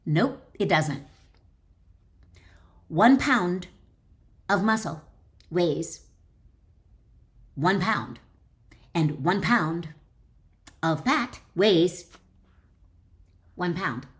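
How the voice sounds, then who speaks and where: A woman speaking as an author and wellness teacher, addressing the listener directly in emphatic, deliberate phrases as she instructs and reads from her book. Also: fairly low pitch, lively intonation. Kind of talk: instructional talk mixed with expressive reading aloud from own book.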